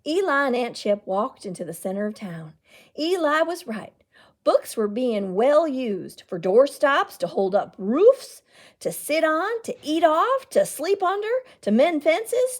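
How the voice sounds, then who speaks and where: A woman storyteller reading a children's picture book aloud in a dramatic, deliberate way. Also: high voice, a little mellow. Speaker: library storyteller.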